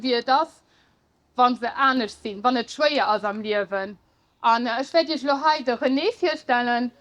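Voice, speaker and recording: A woman's voice: high-pitched, a bit bright. A woman hosting an event, speaking informally and switching between languages to introduce the next speaker. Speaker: event host. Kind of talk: public speech.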